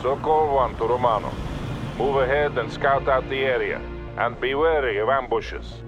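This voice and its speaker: An actor playing a cautious Soviet colonel, giving measured, authoritative orders in a video game. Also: male voice, mid-range voice, muffled recording, music in the background, lightly acted. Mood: calm, cautious. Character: steady, cautious middle-aged Soviet colonel.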